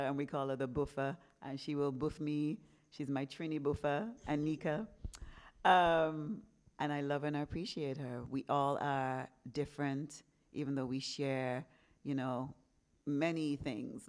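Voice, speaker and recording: A woman's voice: rumbling low, darkish tone. A woman in her fifties from England, a podcast host telling her own story in a candid, reflective conversation. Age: 50s. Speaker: podcast host being interviewed.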